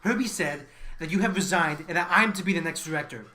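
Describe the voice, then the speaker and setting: A man's voice: fairly high pitch, quick pace, sharp tone. A man acting as an ambitious, resentful mathematician in a radio play. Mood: triumphant, combative. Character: ambitious, resentful male mathematician scientist eager for promotion.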